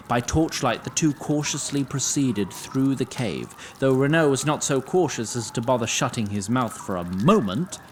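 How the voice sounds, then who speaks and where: A man narrating a fantasy audio drama in a measured, literary storytelling manner with wry touches. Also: medium pitch, expressive, slight background noise.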